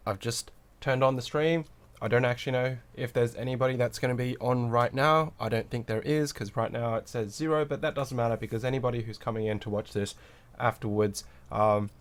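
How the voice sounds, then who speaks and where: A man hosting a livestream with a cold and sore throat, sounding nasal as he chats casually, greets viewers and reads out the chat while he builds a kit. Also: medium pitch. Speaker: livestream host.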